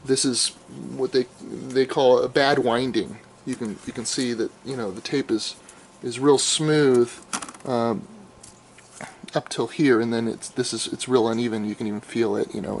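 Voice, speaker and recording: A middle-aged American man gives a casual, unscripted show-and-tell, thinking aloud in a halting, explanatory way. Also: mid-range voice. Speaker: amateur audio archivist showing his tapes. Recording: clearly noisy home video, room ambience.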